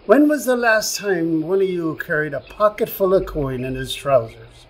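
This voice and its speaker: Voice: mid-range voice, animated, moderate pace, close to an everyday voice, poor audio. An actor in a radio play voicing a grumpy, suspicious little leprechaun with an Irish accent, blustering and insulting.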